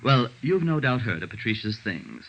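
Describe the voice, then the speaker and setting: A man's voice: mid-range voice, very animated, normal speed, fairly bright tone, dull audio, slight background noise. An actor playing a flustered, self-dramatizing male dress designer in a theatrical, fussy 1950s radio drama style. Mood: self-important. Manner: theatrical, fussy, gushing, stammers when agitated.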